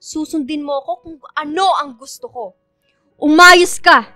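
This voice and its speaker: A woman's voice: sits high, a little slow, sharp tone, no room sound, studio quality, faint music behind. A woman playing a stern, controlling mother in a Filipino radio drama, scolding and commanding. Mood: angry, commanding.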